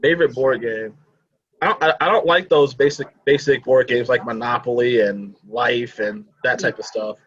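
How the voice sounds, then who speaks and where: A man in his twenties chatting on a video call, enthusiastic about his hobbies and quick to laugh. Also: mid-range voice, fairly bright tone, breathy edge, muffled recording. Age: 20s. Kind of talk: casual chat on a video call.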